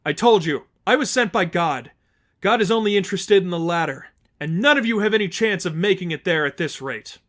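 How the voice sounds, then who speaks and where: A man's voice: high voice, animated, fairly quick, voice pushed up. A man voicing a defiant, earnest young woman in a dramatic story reading, speaking emphatically as if rallying a crowd. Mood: stern. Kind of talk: dramatic audiobook-style fan fiction reading.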